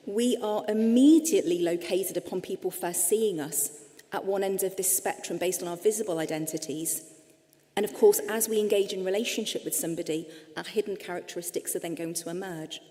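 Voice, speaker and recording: An English-accented woman in middle age gives a slide presentation, explaining earnestly and turning to her listeners often. Her voice is mellow and darkly coloured. It rings out with the long reverb of a hall. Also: on the low side. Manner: earnest, explanatory, addresses audience directly. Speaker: clinician giving a presentation. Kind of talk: lecture-style presentation with slides.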